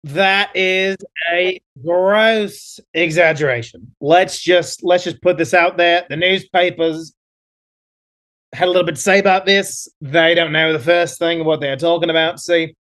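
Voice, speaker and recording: A man's voice: sits high, fairly even intonation, unhurried, a bit bright. Man doing a bragging Australian adventurer. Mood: boastful. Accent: put-on Australian. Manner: bragging, rambling, many fillers. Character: boastful male Australian adventurer, self-assured. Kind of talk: improvised comedy character.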